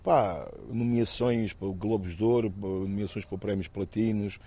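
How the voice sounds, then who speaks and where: A man in his fifties from Guinea-Bissau, talking about his life in an interview, thoughtful and halting, with many restarts. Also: lowish voice, fairly even intonation, mellow. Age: 50s. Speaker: interviewee, actor and musician. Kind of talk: reflective personal interview.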